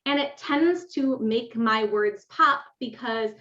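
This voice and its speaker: A woman with a naturally loud, fairly high voice walks listeners through a teaching webinar. She explains patiently with plenty of fillers. The audio is dull and lo-fi. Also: slow pace, echoey room. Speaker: webinar presenter. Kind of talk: live webinar presentation. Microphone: desk microphone.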